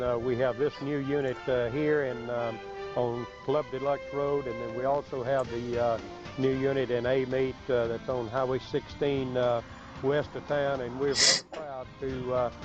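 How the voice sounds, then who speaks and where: A man, a local official, giving a halting interview answer full of uhs and pauses on old lo-fi video. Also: medium pitch. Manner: hesitant, frequent uhs and pauses. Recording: dull lo-fi archive video, music behind. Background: clear background music.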